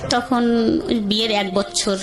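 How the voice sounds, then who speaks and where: An adult woman, calling in to tell a radio host her life story in a halting, reflective way with frequent restarts. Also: medium pitch, steady tone. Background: soft background music.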